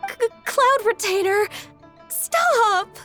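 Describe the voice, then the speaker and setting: A woman playing a gentle, earnest young half-immortal secretary in a video game, polite and deferential in measured phrases. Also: very high voice, expressive, faint music behind, full character voice. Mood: flustered.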